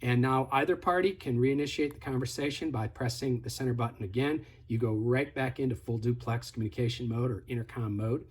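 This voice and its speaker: Slightly husky man demonstrating a product. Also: mid-range voice, fairly even intonation, a little fast, few pauses, darkish tone. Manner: step-by-step, instructive, conversational. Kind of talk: casual product tutorial, hands-on demonstration.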